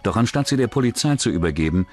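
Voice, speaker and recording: A man narrating a scripted true-crime documentary in a measured, dramatic manner. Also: on the low side, expressive, soft background music.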